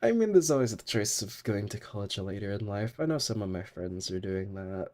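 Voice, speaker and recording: A man's voice: fairly low pitch, very animated, a little fast, a little mellow. A young man streaming a game while sick, chatting in a rambling way and laughing often. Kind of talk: casual gaming stream chat.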